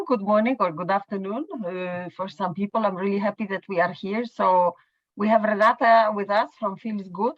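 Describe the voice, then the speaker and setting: A woman's voice: medium pitch, no room sound. Woman moderating over a video call. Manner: friendly, halting with fillers and soft laughs. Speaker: meeting moderator. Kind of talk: hosting an online panel. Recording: muffled video call audio.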